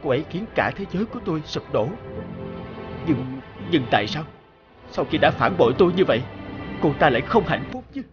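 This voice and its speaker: A man's voice: on the high side, big pitch swings, brisk, pauses often, highs cut off. A man voicing a young man in a cartoon, heartfelt and wounded as he talks about his past. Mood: wistful. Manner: heartfelt, emotional. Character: young man, wounded, brooding successful ex-boyfriend. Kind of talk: cartoon voice acting. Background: background music.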